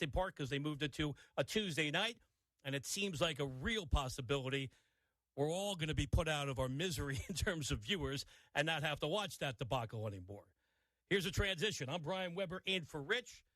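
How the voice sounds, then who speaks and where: A man's voice: a little high, expressive, normal speed, pushed and bright, no echo. An older man hosting a sports talk radio show, giving an opinionated monologue with wry asides. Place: radio studio.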